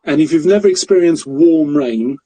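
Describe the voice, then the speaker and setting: A middle-aged man from Mozambique, a podcast guest telling stories in a relaxed, self-deprecating way with frequent chuckles. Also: on the high side, moderate pace. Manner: jokey, self-deprecating storyteller who laughs often. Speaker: guest, currency broker. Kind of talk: casual interview chat.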